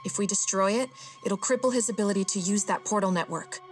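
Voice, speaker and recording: A woman's voice: mid-range voice, quick pace, soft background music, lightly acted. A woman playing a composed, efficient military intelligence officer in a video game, giving businesslike briefings. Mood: serious.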